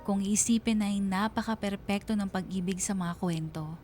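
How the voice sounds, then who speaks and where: A woman's voice: mid-range voice, moderate pace, a little mellow, lightly acted. A woman playing a reflective, bereaved young woman narrating her own love story in a radio drama. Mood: sad, reflective. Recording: music behind the voice.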